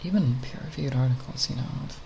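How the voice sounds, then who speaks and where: A young woman talking softly in a quiet, unscripted ASMR ramble, hesitant and self-deprecating, sometimes breathy. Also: a bass voice, fast talker. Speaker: ASMR video creator. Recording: steady background noise with tapping sounds. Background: plastic bottle tapping sounds.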